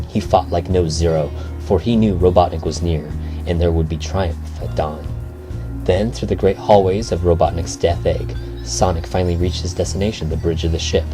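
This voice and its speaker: A man with a low voice reads a rhyming bedtime adventure. His delivery is theatrical and measured, in the manner of a storybook narrator. Music plays clearly under the voice. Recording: music behind the voice.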